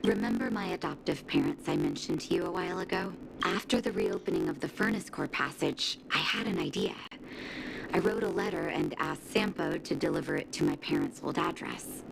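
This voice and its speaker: An actress playing a kind, caring adult doctor in a game cutscene, speaking gently and earnestly. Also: female voice, very deep voice, huge pitch swings, a little fast, gravelly, heavily acted, rough recording. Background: game music.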